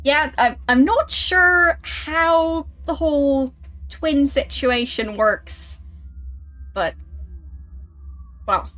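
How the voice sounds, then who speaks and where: A woman's voice: high voice, drawn-out words, pauses often, soft background music, poor audio. A young woman streaming a game, chatting casually with viewers and chuckling as they guess her accent. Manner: relaxed, chuckling, teasing about her accent. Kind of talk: casual livestream chat, reading chat.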